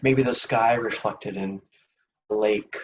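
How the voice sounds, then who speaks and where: A man leading a guided meditation in a gentle, soothing, unhurried manner with long pauses between phrases. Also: fairly low pitch, fairly even intonation, recorded from a distance, lo-fi sound, slight background noise.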